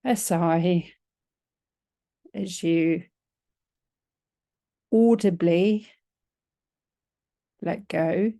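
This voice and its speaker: A woman teaching yoga nidra, leading a guided relaxation in a gentle, soothing, unhurried manner with long pauses. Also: on the low side, dry recording, clean studio sound.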